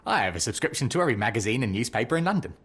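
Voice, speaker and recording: An actor playing a timid, apologetic, lovelorn bookseller in a video game, meek and hesitant with a husky voice. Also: male voice, medium pitch, big pitch swings, rapid-fire, a bit bright. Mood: flustered. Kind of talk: video game cutscene acting.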